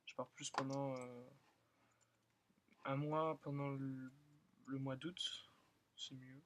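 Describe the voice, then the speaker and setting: A young man who is still in school, slightly hoarse, rambling and thinking aloud with many fillers as he comments on his own gameplay. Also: medium pitch, little pitch movement, takes it slowly. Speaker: gaming channel host. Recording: loud keyboard and mouse clicking.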